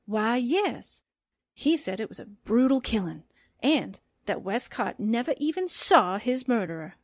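Folksy female reporter sidekick played by a woman, highish animated voice, eager, close dry sound, old-time radio. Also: measured pace, no echo, full character voice. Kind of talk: 1940s-style radio drama acting.

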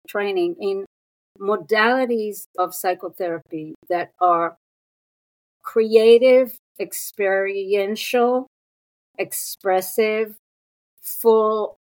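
A woman in her sixties from Israel, a psychotherapist speaking as an interview guest in an unhurried, thoughtful way with pauses and fillers. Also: mid-range voice, rough recording. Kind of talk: reflective interview talk.

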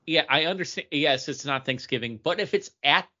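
A man's voice: fairly high pitch, expressive, brisk, bright, forceful tone, muffled recording. A man chatting casually and emphatically as a podcast co-host, giving his opinions in a panel ranking.

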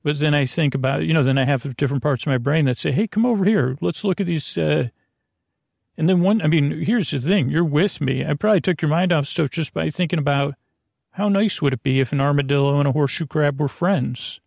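A man hosting a bedtime podcast in a gravelly, creaky voice, rambling haltingly, trailing off and circling back. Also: a little high, darkish tone, no echo, clean studio sound. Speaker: podcast host.

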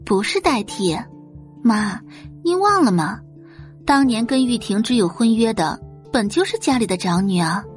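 A woman's voice: fairly high pitch, sing-song, moderate pace, faint music behind. A woman voicing a young rich daughter in an audio drama, falsely sweet, cooing and wheedling. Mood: coaxing, calculating.

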